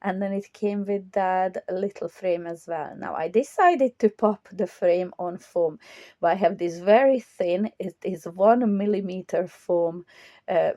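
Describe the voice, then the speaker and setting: A woman's voice: mid-range voice, unhurried, mellow, no room sound, clean studio sound. A woman, recording a chatty, step-by-step crafting tutorial voiceover while her voice recovers and sometimes strains. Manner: chatty, enthusiastic, step-by-step explaining. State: voice recovering from loss, occasionally strained. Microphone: close voiceover mic.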